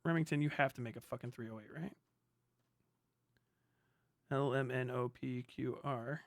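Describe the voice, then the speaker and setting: A man livestreaming casually, muttering figures as he reads and thinking aloud in halting bursts with plenty of swearing. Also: medium pitch, dry recording, clean studio sound. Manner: swears freely. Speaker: live streamer.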